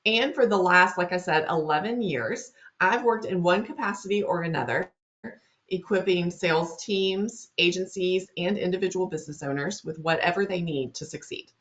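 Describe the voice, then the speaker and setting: Fast-talking Southern woman hosting a webinar. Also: lowish voice, a bit bright. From Louisiana, US. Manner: friendly, chatty, talks fast. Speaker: webinar host. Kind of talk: presenting a marketing webinar. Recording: dull lo-fi web-call audio.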